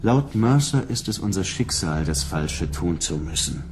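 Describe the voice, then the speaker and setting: An actor playing a weary, matter-of-fact bounty hunter in a German radio drama, recorded in a studio. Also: male voice, low-pitched, lively intonation, a little slow, darkish tone, a little hiss or hum. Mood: weary, resigned.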